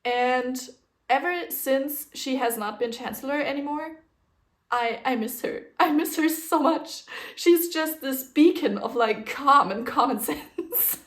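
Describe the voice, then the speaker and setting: A young woman with a German accent, who grew up speaking a German dialect, chatting casually and rambling a little on her solo podcast. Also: fairly high pitch. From German-speaking region. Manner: chatty, rambling, with fillers and small laughs. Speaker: podcast host.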